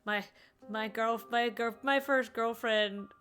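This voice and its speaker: Female streamer: casual reactive commentary, slow pace, slightly high pitch, playful with stammered repeats. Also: steady tone, fairly bright tone, soft background music. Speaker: game streamer.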